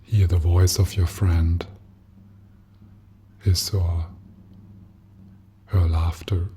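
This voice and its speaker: A man leading a guided meditation as a teacher, speaking gently and softly in an unhurried manner with long pauses. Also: sits low, little pitch movement, slight background noise.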